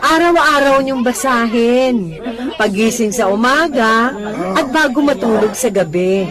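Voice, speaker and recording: A woman's voice: a little high, lively intonation, unhurried, a crowd in the background. A woman playing a devout, stubborn elderly mother in a religious radio drama, speaking in a pious, reassuring way. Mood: earnest, faithful.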